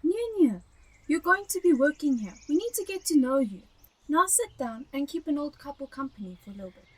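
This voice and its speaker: A young woman acting as an elderly South African wife in a radio play. She is high-voiced and swoops animatedly through her sentences, insistent, sociable and proud of her home. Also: expressive, a little fast. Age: teenager. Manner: insistent and chatty. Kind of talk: amateur radio drama acting.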